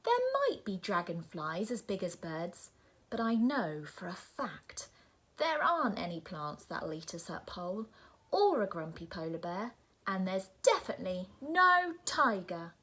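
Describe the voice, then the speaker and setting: A woman voicing a bored, stubborn young girl who insists loudly, in a breathy voice. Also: mid-range voice, sing-song, measured pace, full character voice, low-quality recording. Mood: insistent. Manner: insistent, exasperated.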